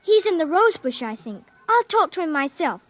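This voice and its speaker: A woman's voice: very high-pitched, big pitch swings, moderate pace, fairly bright tone, dry recording, heavily acted. A woman playing an eager, bossy, impatient little girl in a 1950s radio drama. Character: bossy, excited little girl plotting an invasion game.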